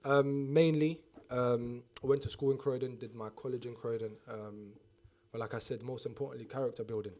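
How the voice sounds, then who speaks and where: A young man with a South London accent talks casually in an interview, easygoing and unhurried. His voice sits at an ordinary middle pitch for a man, and he keeps checking whether his listener gets his point. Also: steady tone, darkish tone. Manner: laid-back, often checks "do you get what I'm trying to say". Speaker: guest footballer.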